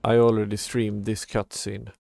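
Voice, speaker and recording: A man's voice: lowish voice, level tone, normal speed, creaky, darkish tone, no echo. A man giving casual live game commentary as a streamer, muttering in a mildly exasperated way. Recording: game music behind the voice. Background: video game music.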